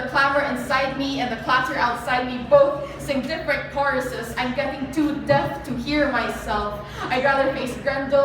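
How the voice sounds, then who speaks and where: A woman's voice: high-pitched, distant mic. A young woman with a Filipino accent performing spoken word poetry live. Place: live performance venue, heard from a distance. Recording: echoey venue from afar, noisy.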